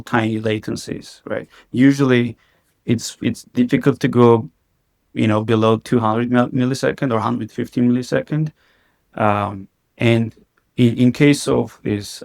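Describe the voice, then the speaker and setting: A man's voice: mid-range voice, vocal fry. A man, a company CEO and podcast guest, talking casually in an interview and filling his sentences with "like" and restarts. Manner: heavy use of the filler "like", frequent restarts.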